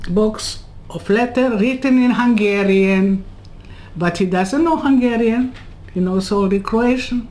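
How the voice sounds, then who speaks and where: Woman, 80s, from Serbia; very slow, halting non-native English with rambling asides; webcam interview from home. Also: medium pitch, darkish tone. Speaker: Holocaust survivor interviewee. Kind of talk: personal life story told in an interview. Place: home room lined with bookcases. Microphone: webcam on a video call.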